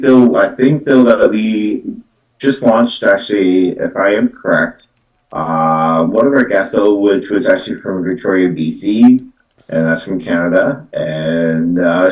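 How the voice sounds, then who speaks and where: Low-voiced man in an echoey room. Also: a little mellow. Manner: halting, repetitive, peppers phrases with "though" and "actually". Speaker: psychic medium host giving live card readings. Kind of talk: unscripted live-stream talk. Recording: roomy echo, distant from the mic.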